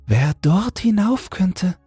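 A man as a little girl toad, high voice, wistful, earnest, simple storybook creature. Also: big pitch swings, slow pace, mellow, breathy edge, no echo, soft background music, heavily acted. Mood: longing.

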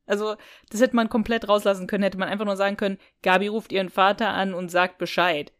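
A woman's voice: medium pitch, little pitch movement, fast talker, no room sound. A woman co-hosting a podcast, talking casually and colloquially as she thinks aloud about an audio drama. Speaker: podcast co-host.

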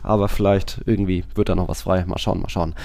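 A man's voice: low voice, little pitch movement, a little fast, gravelly, mellow. A man hosting a podcast, talking casually about football in a chatty, rambling way.

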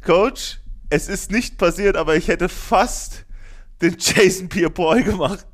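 A man's voice: sits high, measured pace. A man, co-hosting a podcast in joking, slangy casual chat. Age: 20s. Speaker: podcast co-host.

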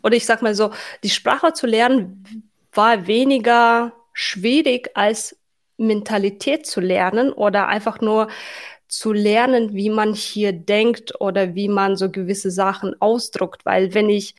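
A woman's voice: medium pitch, steady tone, unhurried. A woman in her thirties from Uzbekistan, speaking as she tells her story in a casual, explanatory interview style. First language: not German. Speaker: interview guest.

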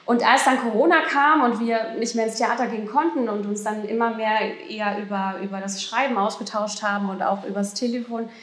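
Young female podcast host, chatty, slightly high voice, echoey venue. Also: fairly quick, few pauses, a bit bright. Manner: chatty, laughs often. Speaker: podcast host, assistant director. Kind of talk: live stage talk. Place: theater restaurant, indoors. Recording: echoey room.

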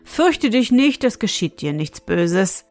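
A woman's voice: mid-range voice, sing-song, takes it slowly, a little mellow. A woman voicing a kindly, reassuring male wizard who speaks solemnly and instructively in a fairy-tale reading. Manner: solemn, instructing, chanting rhymed spells.

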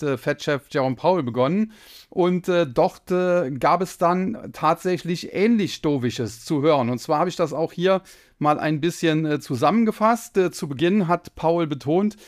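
A man from Germany hosting a solo finance podcast, explaining at length with many hesitations, his voice slightly hoarse from a cold. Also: on the high side. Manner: explanatory, frequent filler hesitations. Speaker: podcast host.

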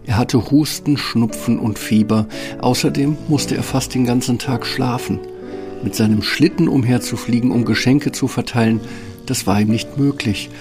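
A man's voice: mid-range voice, moderate pace, music in the background. A man narrating a fairy tale from a script as the storyteller of a radio play.

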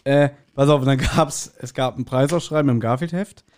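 A man's voice: medium pitch, normal speed, a little mellow. A man, chatting casually and telling nostalgic anecdotes in a blunt, joking way as a podcast host.

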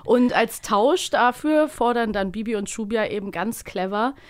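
A woman with a fairly high voice hosts a podcast. She talks in a casual, conversational style. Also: normal speed. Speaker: podcast host.

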